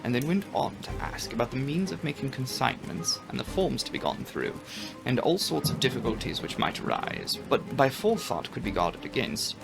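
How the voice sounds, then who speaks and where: A man narrating a classic novel as a dramatic audiobook, in a measured, expressive storytelling manner. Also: medium pitch, creaky, music in the background, rough recording.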